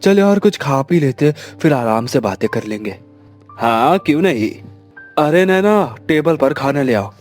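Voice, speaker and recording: Man acting hearty mid-pitched cartoon family man. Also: expressive, unhurried, soft background music, lightly acted. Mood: friendly. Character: easygoing, appreciative Indian husband and his friendly pal. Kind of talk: animated family story dubbing.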